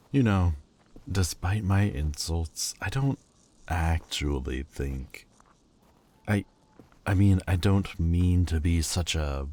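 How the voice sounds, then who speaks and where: A man's voice: sits low, warm tone, heavily acted. A man voicing a proud, bitter demon lord who speaks in a cold, cutting, haughty way and hides a lovesick heart. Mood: flustered. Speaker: voice actor. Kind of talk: audio roleplay acting.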